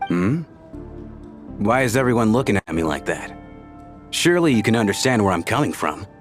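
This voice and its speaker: An actor playing a cagey, lovestruck bodyguard from centuries past in a video game, speaking in a hesitant, confiding, dramatic way. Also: male voice, on the low side, big pitch swings, normal speed. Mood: hesitant, earnest. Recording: game music behind the voice. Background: game music.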